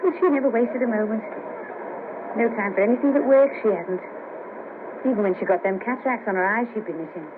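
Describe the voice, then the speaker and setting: An actress playing a chatty, bawdy, self-deprecating sex worker in a 1970s radio play, speaking in an earthy, colloquial way. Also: female voice, medium pitch, fairly quick, long pauses, natural voice. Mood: candid, rueful. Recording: noisy patchy 1970s radio cassette, narrow band.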